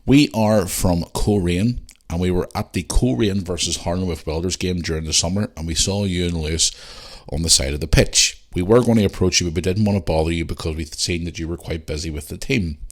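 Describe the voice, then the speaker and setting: A man with a Northern Irish accent hosting a podcast, chatting casually and informally as he goes through listener messages. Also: low voice. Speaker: podcast host answering listener questions. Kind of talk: casual chat and reading out messages.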